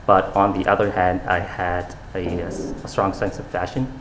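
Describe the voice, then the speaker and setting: A man's voice: low-pitched, level tone, moderate pace, roomy sound, noisy background. A young man from Saudi Arabia, answering interview questions in a casual, earnest way, with fillers and restarts. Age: young adult. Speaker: interview guest, fashion designer.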